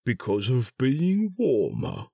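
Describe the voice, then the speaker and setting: Man voicing a slow, gruff, friendly bear. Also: mid-range voice, sing-song, mellow, dry recording, clean studio sound, far from the mic, pitched above the performer's own voice, full character voice. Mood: thoughtful. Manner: hesitant, ponderous.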